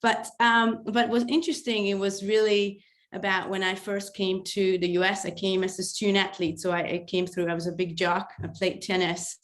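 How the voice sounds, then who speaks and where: A woman in her forties with a Hungarian accent, a history professor giving halting, hesitant panel remarks over a video call, often laughing. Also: mid-range voice, flat delivery. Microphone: video call mic.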